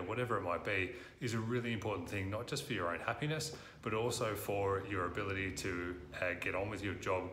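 An Australian man in his thirties presents to camera from an office. His voice sits low but sounds bright and forceful. He talks earnestly, filling pauses with "uh", and the room adds a noticeable echo. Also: slight background noise. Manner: earnest, frequent "uh" fillers. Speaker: recruitment company presenter. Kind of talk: direct-to-camera talk. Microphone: lapel mic.

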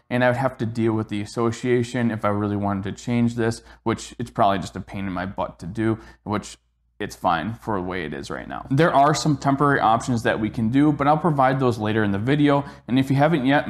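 A young man giving an informal explainer to camera as the host of a how-to video, recorded in a garage. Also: medium pitch. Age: young adult. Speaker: host of a home gym tips video. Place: indoors in a garage converted to a gym.

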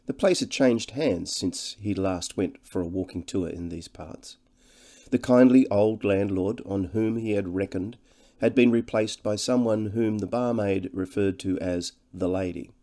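A man reading literary fiction as an audiobook narrator, in a measured, deliberate storytelling manner with dramatic emphasis. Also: fairly low pitch, warm tone.

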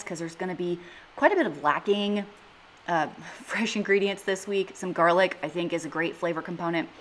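A woman in her thirties talking casually to the camera as a budget cooking vlogger, earnest and practical as she explains prices step by step. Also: lowish voice. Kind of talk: casual vlog talk to camera. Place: living room, sitting on a couch.

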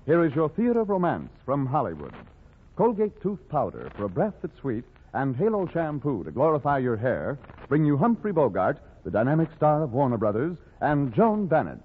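A man's voice: fairly high pitch, huge pitch swings, normal speed, breathy edge. A man announcing a radio show in a formal, declamatory 1940s style. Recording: muffled vintage radio recording with music.

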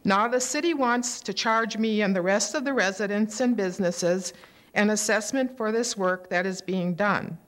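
An older woman reading a prepared, measured complaint at a city council public comment, into a podium microphone in a council chamber. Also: mid-range voice. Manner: steady, measured, indignant complaint. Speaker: resident speaking at public comment. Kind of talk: prepared statement read at a council meeting. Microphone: podium microphone on a gooseneck.

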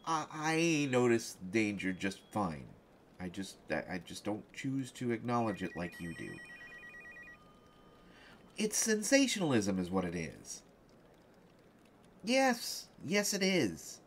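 A man acts out a distracted, put-upon newspaperman in a one-sided roleplay audio. His fairly low voice jumps animatedly up and down as he stammers, defensive and jealous. There is noticeable noise behind him. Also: huge pitch swings, exaggerated voice. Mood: exasperated, defensive. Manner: stammering, halting, exasperated. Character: flustered, distracted male reporter, jealous and self-defensive. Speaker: voice actor in a solo roleplay audio.

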